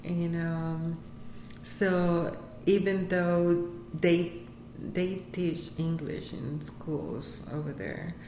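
Low-voiced Puerto Rican woman, halting speech. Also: level tone, warm tone. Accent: Puerto Rican Spanish. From Puerto Rico. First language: Spanish. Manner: halting, many fillers and restarts, laughs often. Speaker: interviewee, health center employee. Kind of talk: casual interview. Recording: echoey room, faint background noise.

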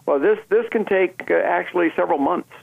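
Gravelly doctor guy calling in, tinny phone sound, easygoing, lots of uhs. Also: sits high, fairly quick, no echo. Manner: relaxed, plain-spoken, many "uh" fillers. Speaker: sports medicine doctor, phone-in guest. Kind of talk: casual radio interview.

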